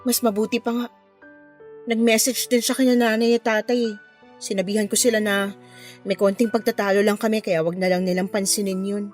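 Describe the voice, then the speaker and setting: A woman's voice: medium pitch, little pitch movement, brisk, a little mellow, lightly acted. An actress playing a hesitant, troubled young woman in a Filipino radio drama. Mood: guarded, subdued. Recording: music under the dialogue.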